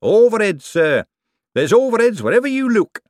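A man's voice: high-pitched, big pitch swings, moderate pace, no echo, studio quality, pitched above the performer's own voice. A man voicing a sly, working-class male mint foreman who uses broad dialect grammar and keeps saying sir. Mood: wheedling.

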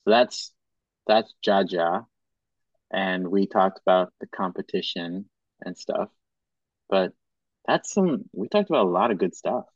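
A young man from Madagascar hosting a podcast, talking in a relaxed, casual way and restarting his sentences as he thinks. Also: sits low, measured pace, pauses often, husky, no room sound, lo-fi sound. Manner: relaxed, says bro and dope a lot, thinks aloud with restarts. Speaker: podcast host.

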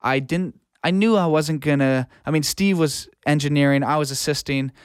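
A man's voice: a little high, moderate pace, clear, resonant tone, dry recording, studio quality. A man speaking informally and earnestly as a guest in a casual interview, giving career advice. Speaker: guest, music producer and audio engineer.

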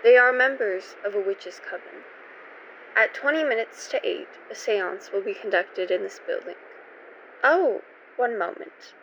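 A woman's voice: mid-range voice, measured pace, gravelly, fairly bright tone, slight background noise, low-quality recording. A woman hosting and narrating a scripted first-person radio theater piece in a theatrical, suspenseful manner. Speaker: host and narrator.

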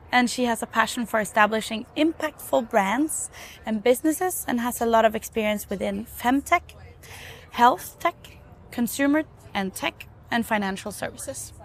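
A woman with a fairly high voice hosts an interview podcast. She presents and questions her guest in the role of interviewer.

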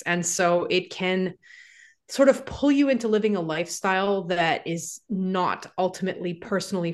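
Woman, fairly low voice, forties, casual podcast guest, lots of fillers. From Canada. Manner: conversational, many fillers like "you know" and "like". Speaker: guest, real estate investor. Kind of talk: podcast interview answers.

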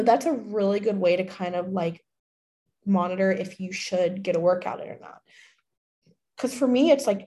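A young woman hosting a fitness podcast alone, chatty and full of fillers, her voice slightly hoarse from being sick. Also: mid-range voice, dark tone. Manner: chatty, many fillers, laughs often. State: slightly hoarse from a recent illness. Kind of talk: casual solo podcast talk.

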